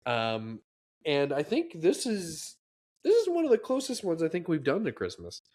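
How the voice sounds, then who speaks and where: A man's voice: a little high, huge pitch swings, moderate pace, darkish tone. A man co-hosting a casual podcast chat about movies, sounding congested and rambling with frequent restarts. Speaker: podcast co-host.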